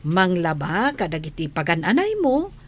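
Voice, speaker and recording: A doting older nanny in an Ilocano radio drama. She speaks with an Ilocano accent in a fairly low voice, patient, tender and motherly. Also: sing-song, moderate pace.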